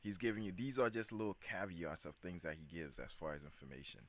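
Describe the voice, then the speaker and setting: A man's voice: deep voice, steady tone, quick pace. A man hosting his channel, casually reacting to a video in a hyped, slangy way and repeating himself.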